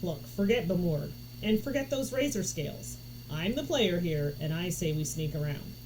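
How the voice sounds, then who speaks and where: A woman's voice: fairly low pitch, lively intonation, moderate pace, lightly acted. A woman voicing an anxious, cautious young game player in a storybook reading, urgent and often exasperated. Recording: noticeable background noise.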